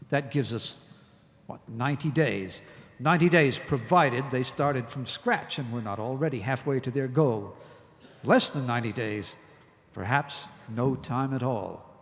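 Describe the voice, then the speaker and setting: A man playing a grave, forceful army colonel in a live audio drama, arguing in deliberate military speech. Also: mid-range voice, close to an everyday voice. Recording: live stage recording in echoey hall.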